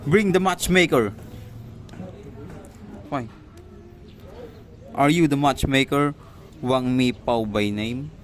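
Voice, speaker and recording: A man playing a stern, commanding magistrate in an amateur radio drama, questioning witnesses in a formal, deliberate way. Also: mid-range voice, a little hiss or hum, lightly acted.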